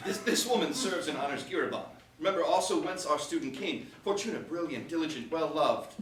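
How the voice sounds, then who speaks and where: A man's voice: on the high side, expressive, brisk, a bit bright. A man playing a sensible, reasoning peacemaker scholar, admonishing and declaiming in a staged verse comedy reading. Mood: earnest. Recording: roomy echo, distant mic, faint noise.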